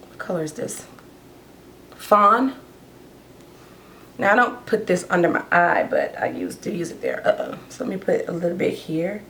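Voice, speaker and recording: Hoarse female beauty vlogger, rambling and chatty, mid-pitch voice, casual makeup talk. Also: a little hiss or hum. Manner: chatty, rambling, talks while doing makeup. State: hoarse, losing her voice. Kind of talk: casual get-ready-with-me chat.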